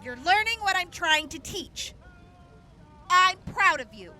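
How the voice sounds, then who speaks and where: A woman playing a brash, tough, boisterous fighter and mentor in an audio drama, blunt and quick to swear. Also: very high voice, lively intonation, pushed and bright, slight background noise, heavily acted. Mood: exasperated, boastful.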